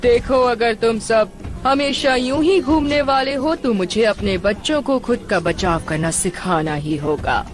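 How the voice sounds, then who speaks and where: Woman voicing a stern, high-voiced mother dinosaur. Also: measured pace, soft background music, close to an everyday voice. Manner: scolding, earnest. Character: stern, protective mother dinosaur, adult female. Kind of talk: Hindi cartoon dub.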